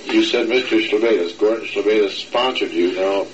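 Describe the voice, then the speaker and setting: A man's voice: fairly low pitch, normal speed, highs cut off, slight background noise. A man interviewing an older immigrant, asking polite, prompting questions. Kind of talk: oral history interview.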